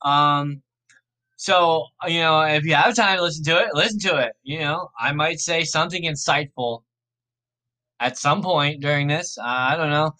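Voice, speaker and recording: Man, podcast host, slightly high voice, bright and forceful, a bit raspy, rambling casual talk. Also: normal speed, poor audio. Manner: rambling, laid-back, self-correcting. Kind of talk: casual thinking aloud during a fantasy draft.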